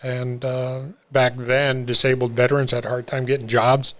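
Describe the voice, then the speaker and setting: An older man telling his own recovery story in an interview, talking haltingly with many fillers and restarts. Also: medium pitch, little pitch movement. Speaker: interview guest. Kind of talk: reflective personal story.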